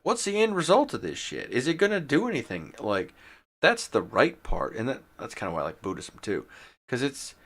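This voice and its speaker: Man from Detroit, casual streamer monologue, irreverent and profane, lively bright voice. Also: mid-range voice, moderate pace. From Detroit, USA. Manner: profane, irreverent, laughs often.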